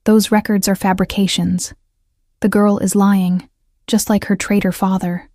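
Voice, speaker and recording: A woman's voice: mid-range voice, level tone, unhurried, mellow, dry recording, studio quality, lightly acted. A woman voicing a scheming male mafia underboss who speaks steadily and dismissively. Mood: defensive.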